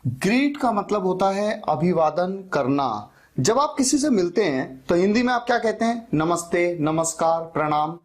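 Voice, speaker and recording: A man teaching an English lesson for interviews. He dictates example phrases slowly and answers his own questions. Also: sits high. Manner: asks questions and answers them himself.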